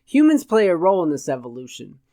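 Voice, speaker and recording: A man's voice: fairly high pitch, huge pitch swings, fast talker, dark tone, no room sound, studio quality. A man voicing a pompous, gloomy old philosopher guru in an audiobook, declaiming in wordy pronouncements. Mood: grandiose, gloomy. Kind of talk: audiobook character voice.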